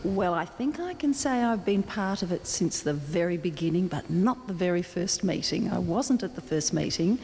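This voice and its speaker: Older woman, Australian accent, hesitant interviewee, mellow tone, reverberant hall with background noise. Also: fairly low pitch, expressive, moderate pace, few pauses. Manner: hesitant, repeats words while phrasing. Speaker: support group president and interviewee. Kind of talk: unscripted interview answers. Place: large indoor gallery.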